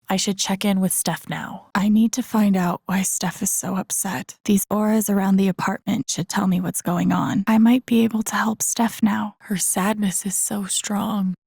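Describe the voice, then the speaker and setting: A woman voicing a young, introspective, dry-humored game heroine, murmuring her thoughts in a husky, breathy voice. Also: mid-range voice, a little mellow, no room sound. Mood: focused, then tender, sad. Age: young adult. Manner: murmured, breathy thinking aloud, often near a whisper. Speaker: video game voice actress. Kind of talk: soft inner-monologue game voice acting.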